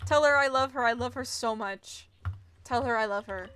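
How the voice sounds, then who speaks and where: A woman's voice: on the high side, moderate pace, fairly bright tone, a little hiss or hum. A woman streaming a game, giving casual live commentary in a giggly, gushing way and reacting aloud as she plays. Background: game music.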